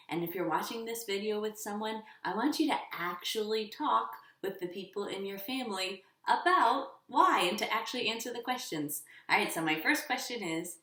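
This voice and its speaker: Bright, animated woman teacher in echoey room. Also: medium pitch. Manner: instructive, encouraging. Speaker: elementary school teacher. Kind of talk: children's lesson with questions and pauses. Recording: roomy echo, slightly distant mic.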